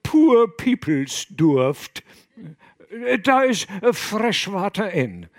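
A man reading an audiobook, voicing rough, evasive fishermen in a slow, drawling dialect. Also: high voice, big pitch swings, dry recording, studio quality, voice pushed up, full character voice. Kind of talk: audiobook character voice.

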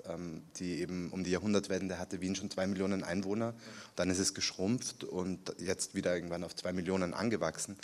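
A man in his thirties from Austria with a Viennese accent, an author answering questions at a library reading, relaxed and halting with many fillers. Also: deep voice. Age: 30s. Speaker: author guest. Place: city library event room.

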